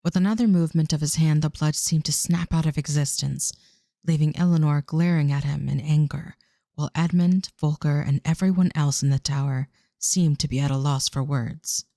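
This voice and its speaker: A woman's voice: sits low, steady tone, moderate pace, mellow, no room sound, clean studio sound. A woman narrating a scripted audio drama as a storyteller.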